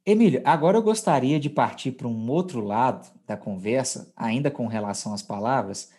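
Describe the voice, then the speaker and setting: A man's voice: mid-range voice, animated, normal speed, dark tone, breathy edge. A Brazilian man hosting a podcast language lesson, explaining conversationally and checking in with his co-host. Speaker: podcast host teaching Portuguese.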